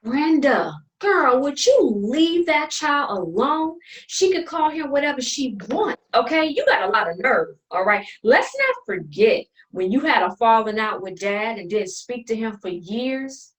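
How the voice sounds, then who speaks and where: A haughty, sharp-tongued sister in a stage family drama , played by an actress. Her voice is fairly high and sits a little away from the mic. She lectures in polished words, coated in sugary sarcasm. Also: big pitch swings, measured pace, hardly any pauses, far from the mic, rough recording. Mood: stern, exasperated. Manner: condescending, sarcastic, enunciated scolding with sugary endearments. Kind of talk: dramatic play reading.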